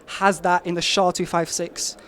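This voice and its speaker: High-voiced man, eager pitch. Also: level tone, normal speed, fairly bright tone. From Albania, raised in London. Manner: eager, insistent, emphatic claims. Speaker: marketing lead, interviewee. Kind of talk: casual interview pitch.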